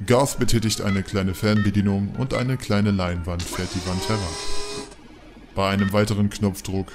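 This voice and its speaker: Low-voiced male narrator over music. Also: fairly even intonation, normal speed. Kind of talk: scripted audio drama narration.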